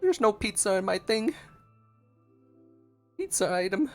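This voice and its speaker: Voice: medium pitch, big pitch swings, measured pace, a little mellow. A streamer giving casual, playful gameplay commentary, reacting aloud to the game. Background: game music.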